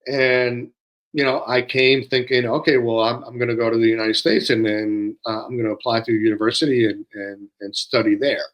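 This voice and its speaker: Older man who grew up in Peru, co-host, thoughtful pacing with many pauses, mid-pitched voice. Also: little pitch movement, muffled recording. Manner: measured, pausing often with fillers. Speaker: podcast co-host. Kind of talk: reflective conversational monologue.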